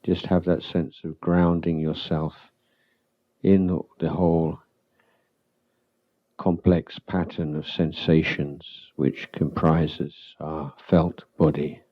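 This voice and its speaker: A man teaching meditation as a monk, giving calm, unhurried guided instructions with long halting pauses. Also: very deep voice, highs cut off.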